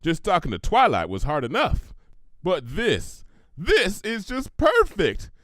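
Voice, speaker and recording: A man's voice: high voice, huge pitch swings, measured pace, fairly bright tone, dry recording, clean studio sound, voice pushed up, exaggerated voice. A man voicing an earnest, plain-spoken female farm pony. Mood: grateful, relieved. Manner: folksy, emphatic.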